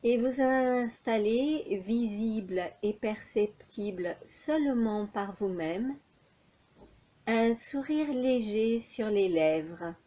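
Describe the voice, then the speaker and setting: A woman leading a guided yoga nidra relaxation as an instructor, giving calm, soothing, measured instructions with long pauses. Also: a little high.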